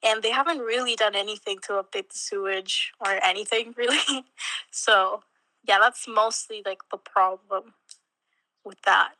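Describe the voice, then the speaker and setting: A woman's voice: medium pitch, steady tone, unhurried, sharp tone, poor audio. A young woman from Mexico, a college student chatting casually in an interview, with lots of fillers and little laughs. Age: young adult. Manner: chatty, many fillers, laughs between words.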